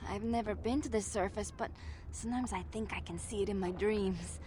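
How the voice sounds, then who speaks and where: Female actor: wistful young game villager, soft and earnest, medium pitch, faint noise behind. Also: a little fast, lightly acted. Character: gentle, wistful young village woman, sheltered.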